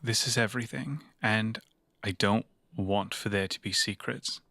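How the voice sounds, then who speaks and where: A man playing a consoling, remorseful investigator in an improvised cyberpunk tabletop game, earnest and halting. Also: on the low side, fairly even intonation, pushed and bright, no room sound, clean studio sound, full character voice. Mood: confessing, apologetic.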